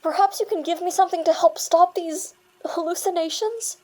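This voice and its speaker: A woman's voice: extremely high pitch, moderate pace, dark tone. A woman playing a shaken, self-doubting young woman in a naturalistic audio drama. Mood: anxious, weary.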